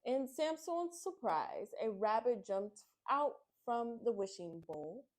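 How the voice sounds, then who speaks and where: A woman's voice: on the high side, very animated, takes it slowly, darkish tone. Woman reading a picture book aloud. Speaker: children's read-aloud host. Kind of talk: picture book read-aloud, friendly address to children.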